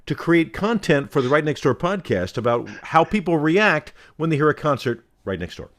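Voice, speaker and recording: Man, middle-aged, resonant voice, lively pitch swings, theatrical, rambling podcast chat. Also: moderate pace. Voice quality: resonant voice that carries. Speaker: podcast co-host. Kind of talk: casual chat.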